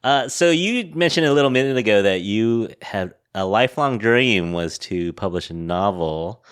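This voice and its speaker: A man hosting a podcast, asking questions in a relaxed, friendly way and laughing often. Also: fairly low pitch, normal speed, clean tone. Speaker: podcast host. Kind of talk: casual interview chat.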